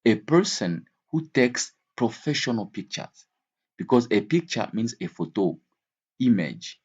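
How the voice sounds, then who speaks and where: A man's voice: on the low side, sing-song, a little slow, a little mellow, slightly rough, highs cut off. A man teaching an English vocabulary lesson in a didactic way, repeating key words and switching between languages.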